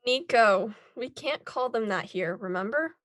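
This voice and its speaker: A woman's voice: a little high, animated, a little slow, a bit bright, slight background noise. A young woman playing a composed, welcoming lead scientist in her twenties in a table read. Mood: chiding. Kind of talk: table read of a scripted pilot.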